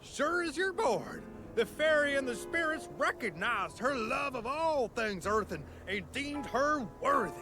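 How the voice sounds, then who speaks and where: A folksy game villager with a high, gravelly voice and a drawl. He tells things like a small-town storyteller, easygoing and peaceable, with a very animated rise and fall, over clear background music. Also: a little slow, hardly any pauses, heavily acted, rough recording. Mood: wistful, proud. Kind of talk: video game cutscene acting.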